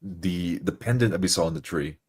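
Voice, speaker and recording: A man's voice: sits low, fairly quick, breathy edge. A man chatting casually as a roleplay player, often repeating words as he gets his sentences going. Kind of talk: casual discussion.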